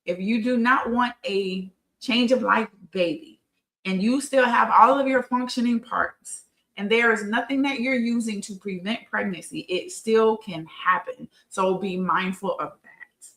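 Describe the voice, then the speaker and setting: A woman's voice: medium pitch, bright, forceful tone. A fifty-year-old woman giving an informal educational talk as a host, conversational and emphatic, repeating phrases for stress. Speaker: host of a women's health talk show.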